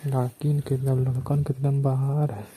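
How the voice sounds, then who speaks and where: A man's voice: mid-range voice, flat delivery, normal speed, heavy background noise. A man with a Bhojpuri accent chatting casually on a phone call in a cheeky, teasing way.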